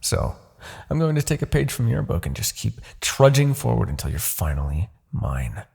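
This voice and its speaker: A demon king in a fantasy roleplay audio, immortal, smug and coldly persuasive. The voice is very husky and thick with gravel, and it swings expressively up and down. He lingers on words with theatrical, condescending menace. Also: on the low side, very animated, normal speed, darkish tone, exaggerated voice. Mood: exasperated, taunting. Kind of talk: fantasy ASMR roleplay acting.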